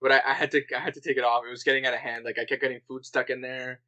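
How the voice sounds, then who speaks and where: A young man chatting on a podcast with a bright, forceful voice. He rambles casually, leans on "like" as filler and laughs often. His tone stays loose and friendly-paced. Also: mid-range voice, level tone, rapid-fire, dull audio. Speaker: podcast co-host. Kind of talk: casual chat.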